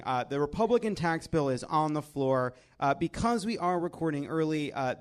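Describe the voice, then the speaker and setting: A wry American man with a fairly high voice hosts a topical comedy panel. He moves between joking banter and exasperated commentary, swearing casually as he goes. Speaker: host of a political comedy panel show.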